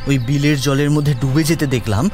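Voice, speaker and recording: A man playing a frightened, curious young grandson in an audio drama, halting and repeating his words with urgency. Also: mid-range voice, darkish tone, faint music behind, close to an everyday voice. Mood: puzzled, insistent.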